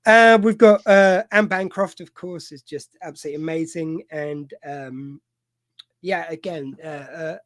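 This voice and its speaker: Rambling man with a fairly high voice. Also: fairly bright tone, no echo. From United Kingdom. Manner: rambling, halting, many fillers and restarts. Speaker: podcast host. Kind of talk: casual film discussion. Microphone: video call mic.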